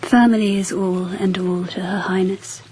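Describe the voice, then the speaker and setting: An actress playing a regal, reflective empress in a radio drama, stately and measured in manner. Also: female voice, mid-range voice, fairly even intonation, a little mellow, slight background noise, lightly acted, low-quality recording. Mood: wistful, musing. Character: regal, reflective empress of 10th century Japan.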